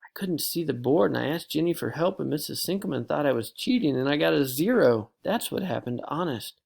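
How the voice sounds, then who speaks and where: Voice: mid-range voice, lively intonation, brisk, vocal fry, a little mellow, husky, pitched above the performer's own voice. A reader voicing an earnest, anxious young schoolgirl in an audiobook, pleading and breathless. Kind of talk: audiobook character voice.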